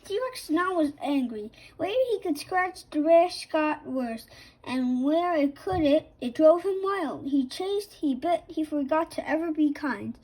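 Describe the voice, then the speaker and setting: High-voiced woman reading a storybook slowly. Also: lively intonation, darkish tone, low-quality recording. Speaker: children's story reader. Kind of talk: scripted storybook narration for children.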